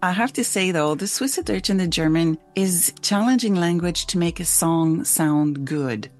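A woman's voice: on the low side, moderate pace, faint music behind. A woman who grew up in Switzerland and lives in Canada, hosting a thoughtful, casual conversation.

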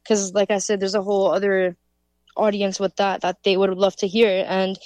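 A woman's voice: medium pitch, a little mellow, clear, resonant tone, no room sound. A woman from Canada, a musician answering interview questions in a loose, casual way full of fillers. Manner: loose, filler-heavy, with frequent "like". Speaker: musician guest.

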